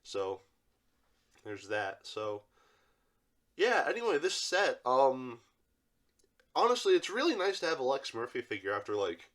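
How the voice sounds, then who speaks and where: A young man with a congested, stuffy voice from allergies, casually reviewing an action figure and rambling with lots of fillers. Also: medium pitch. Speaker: toy reviewer, channel host.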